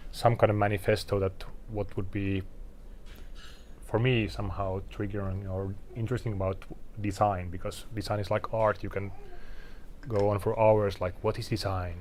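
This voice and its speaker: A Finnish man in his forties, a designer giving a casual talk with slides to an audience, halting and self-deprecating, clearing his throat often. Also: fairly low pitch, flat delivery, darkish tone, a little hiss or hum. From Finland. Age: 40s. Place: event venue in front of an audience.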